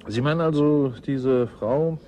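An actor playing a wary, gruff, hard-drinking male scientist with a guarded, sardonic manner in a German radio drama. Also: medium pitch, measured pace, warm tone, lo-fi sound, a little hiss or hum, natural voice.

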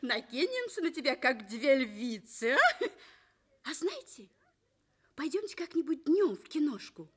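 A woman's voice: high-pitched, very animated, unhurried, pauses often. An actress playing a bubbly, teasing young woman in a Soviet radio drama, exuberant and coaxing. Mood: playful coaxing.

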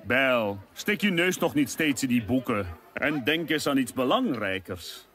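An actor playing a vain, boastful, brawny young hunter in an animated musical, pompous and swaggering. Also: male voice, medium pitch, unhurried, soft background music. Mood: smug, condescending. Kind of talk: animated musical film dub.

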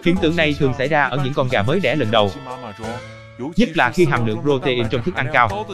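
A man voicing cheeky talking plants and animals in a chatty, instructive animated explainer. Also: medium pitch, quick pace, over music, natural voice. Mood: explaining.

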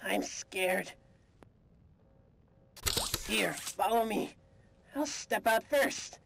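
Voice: mid-range voice, extremely slow, vocal fry, very noisy, exaggerated voice. An actor voicing a friendly, timid, childlike cartoon chicken toy for a horror video game. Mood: scared. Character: cheerful but timid cartoon chicken toy, eager to hang out. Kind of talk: scripted video game character lines.

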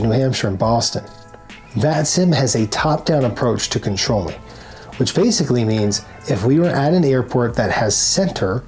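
A man in his forties presents a scripted tutorial, speaking to camera in an instructional way. His voice sits at a middle pitch. Music plays behind the narration. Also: a little mellow. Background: background music.

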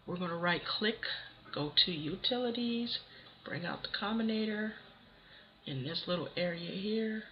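A hoarse voice with a cold, casually talking through a software tutorial step by step. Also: medium pitch, unhurried. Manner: casual, talks through steps one by one. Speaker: tutorial host. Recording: dull lo-fi voice-over with faint hiss.

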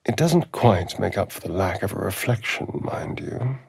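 Theatrical English vampire, wildly swooping intonation. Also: male voice, low voice, lively intonation, moderate pace, creaky, a little mellow, slightly rough, no room sound, studio quality, heavily acted. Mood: dramatic. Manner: arch, drawling, theatrical, haughty. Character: sardonic, theatrical, aristocratic male vampire spawn rogue. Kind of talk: video game character dialogue.

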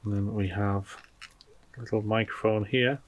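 A man's voice: fairly low pitch, steady tone, normal speed, muffled recording, a little hiss or hum. A man giving casual unboxing commentary as a product reviewer, informally thinking aloud as he goes.

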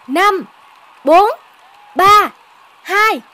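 A woman voicing an excited cartoon boxing announcer with loud, drawn-out, rousing calls. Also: extremely high pitch, exaggerated voice.

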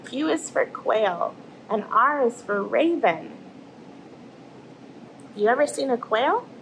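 Slow-paced woman reading to children. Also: a little high, creaky, a little hiss or hum, low-quality recording. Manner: animated, child-directed storytelling. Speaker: storybook reader and host. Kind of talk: reading a children's picture book aloud. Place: indoors in front of an aquarium tank.

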